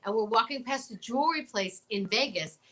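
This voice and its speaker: Bright, exclamatory American woman. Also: on the high side, fairly quick. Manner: expressive, exclamatory. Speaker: talk show co-host. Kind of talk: casual chat.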